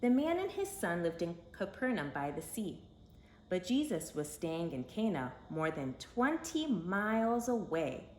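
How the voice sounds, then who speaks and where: Female storyteller for kids' read-aloud: expressive, emphatic, unhurried. Echoey room, slight background noise. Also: lowish voice.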